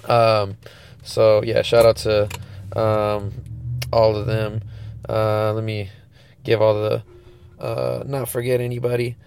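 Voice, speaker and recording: A man's voice: fairly low pitch, flat delivery, takes it slowly, vocal fry, pauses often, a little mellow, slight background noise. A congested man hosting his own podcast, talking in loose, laid-back slang with lots of fillers. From California. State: stuffed up, congested. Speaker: podcast host. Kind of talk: casual chat.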